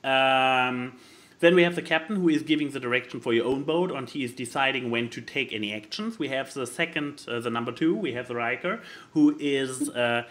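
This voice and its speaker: A man who is not a native English speaker, chatting casually as a podcast co-host and haltingly searching for words as he explains things. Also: medium pitch, fairly bright tone. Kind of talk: casual chat explaining a board game.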